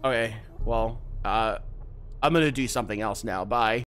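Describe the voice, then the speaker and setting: A streamer giving casual, expressive livestream commentary while playing a game. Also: medium pitch, moderate pace. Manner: animated, expressive. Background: game music.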